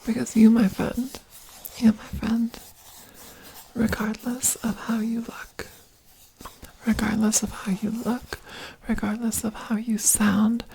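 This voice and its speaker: A woman whispering in an earnest ASMR talk, breathy, with giggly asides. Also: on the high side, darkish tone, slight background noise, poor audio. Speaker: ASMR creator.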